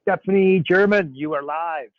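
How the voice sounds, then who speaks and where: A man's voice: a little high, normal speed, no echo. Nasal-voiced chummy man on a call. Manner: loose, chummy and rambling, full of fillers. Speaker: host. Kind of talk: live Q&A call hosting. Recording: phone-like narrow line.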